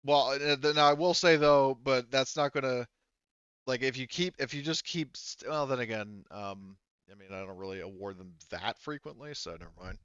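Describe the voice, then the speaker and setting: A man's voice: medium pitch, moderate pace, a bit bright, clean tone, no echo. A man chatting casually as a game master, informal and given to swearing, on dull lo-fi audio. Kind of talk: casual chat after a tabletop game.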